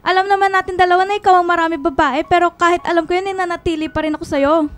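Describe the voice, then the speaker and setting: A woman's voice: very high voice, fairly even intonation, very fast. A woman playing a vulnerable, distressed teenage daughter in a radio drama. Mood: hurt, angry. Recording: music bed behind the voice.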